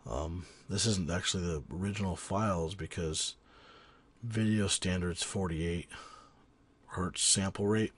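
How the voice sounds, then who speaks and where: A man teaching audio cleanup in a casual tutorial, rambling with frequent ums and restarts, his voice raspy from a cold. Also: sits low, a little slow. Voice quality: husky. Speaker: sound engineer teaching a tutorial. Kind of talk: casual screen-recorded software tutorial.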